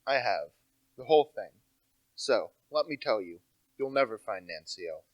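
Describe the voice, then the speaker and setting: A man's voice: fairly low pitch, expressive, normal speed, no room sound, highs cut off. Young man playing emphatic, cocksure amateur sleuth. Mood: smug. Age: teenager to young adult. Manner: emphatic, self-assured. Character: insistent, cocksure amateur detective gentleman. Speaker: actor. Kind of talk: amateur radio play acting.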